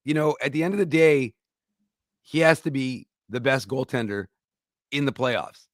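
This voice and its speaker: A man's voice: medium pitch, no echo, studio quality. A man hosting a sports talk show, chatting emphatically about hockey. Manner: emphatic, quick to agree. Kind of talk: casual sports chat.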